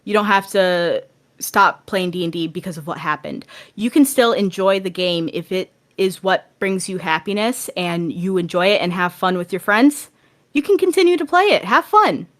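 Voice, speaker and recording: A woman's voice: medium pitch, expressive, normal speed. A woman with a mid-range voice chats as a guest on a podcast. She speaks casually but emphatically, in an encouraging way, circling back to stress her points.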